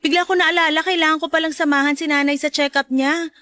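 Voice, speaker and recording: A woman playing a feisty, protective ex-wife and mother in a radio drama, sharp-tongued and nagging. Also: high-pitched, fairly even intonation, fast, dry recording, studio quality. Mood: firm. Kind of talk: Tagalog radio drama acting.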